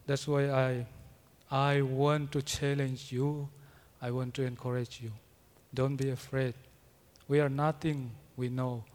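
A man who is not a native English speaker preaching a sermon, in halting English with frequent restarts. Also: mid-range voice, little pitch movement, darkish tone. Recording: long hall reverb.

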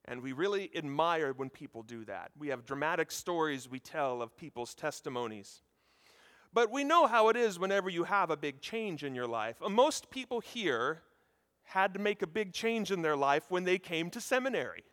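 A man in his thirties from the United States preaches a sermon in a large hall, and the long reverb hangs after his loud, projecting voice. He pitches high and lively, swinging his intonation up and down as he talks with the congregation. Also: normal speed. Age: 30s. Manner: conversational, animated, addresses listeners directly. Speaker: preacher at a seminary chapel. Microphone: handheld or lapel mic.

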